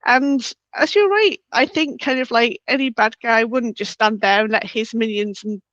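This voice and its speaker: A woman's voice: fairly high pitch, moderate pace, a bit bright, no echo. A woman hosting a casual interview, talking in loose, conversational phrasing on a slightly lo-fi microphone. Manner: loose phrasing with frequent "kind of like". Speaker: interview host. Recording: dull, slightly lo-fi close microphone.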